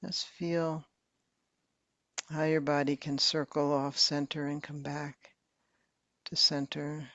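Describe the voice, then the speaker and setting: A woman meditation teacher slowly leading a guided meditation in a soft, calm voice with long pauses. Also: low voice, dry recording, highs cut off.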